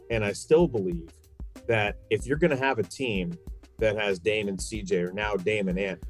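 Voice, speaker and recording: Man, low-pitched sports commentator, emphatic and opinionated, conversational basketball podcast with music in the mix. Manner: emphatic, repeats phrases for stress.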